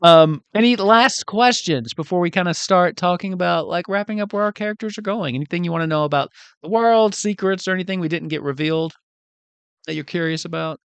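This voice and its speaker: A man's voice: high-pitched, a little fast, gravelly, clear, resonant tone, dry recording. A man chatting casually as the game master of a tabletop campaign, joking and acting out quotes. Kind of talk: casual group chat about a game.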